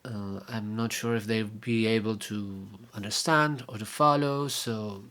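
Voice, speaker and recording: A young man from Argentina, a native Spanish speaker with an Argentine accent, giving a casual video update in a rambling, halting way with many fillers and restarts. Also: on the low side, steady tone, fairly bright tone. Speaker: vlogger and podcaster.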